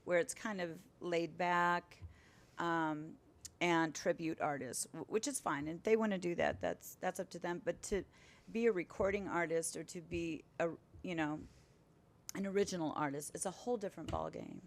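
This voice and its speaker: A middle-aged woman sits in as a guest and talks in a casual, emphatic way, with a lively push behind her points. Her voice sits a little low for a woman. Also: moderate pace. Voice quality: powerful voice. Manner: animated, emphatic storytelling. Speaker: singer and entertainer, guest. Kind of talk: casual interview chat.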